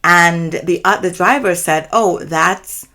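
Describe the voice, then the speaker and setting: Low-voiced Trinidadian woman telling a story. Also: sharp tone. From Trinidad and Tobago. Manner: measured, earnest, explanatory. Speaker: channel host. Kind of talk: personal storytelling to camera.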